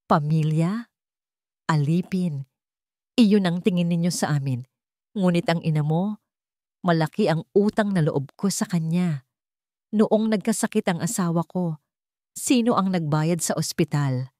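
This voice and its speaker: A woman voicing an elderly housekeeper in a story, calmly menacing and bitter. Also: lowish voice, measured pace, long pauses, no room sound, studio quality, natural voice. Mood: bitter defiant. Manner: calm, cold delivery.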